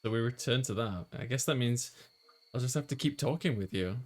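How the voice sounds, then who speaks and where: A man's voice: medium pitch, fairly quick. A man hosting a let's play, chatting casually and reading a visual novel's lines aloud. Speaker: host, gamer reading player character lines.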